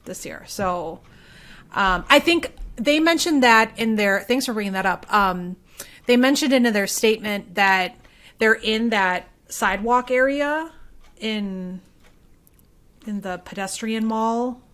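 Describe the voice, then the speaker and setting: A woman's voice: fairly high pitch, takes it slowly, a bit bright. A woman co-hosting a podcast in casual conversation, thinking aloud and hedging her points as she goes. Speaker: podcast co-host.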